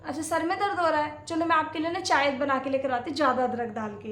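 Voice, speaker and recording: Young daughter-in-law played by a woman, high voice, coaxing and innocent, home comedy skit, roomy echo. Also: steady tone, fast talker, fairly bright tone. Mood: pleading, soothing. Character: young daughter-in-law, placating, sweetly cheeky. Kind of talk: domestic comedy skit acting. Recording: echoey room.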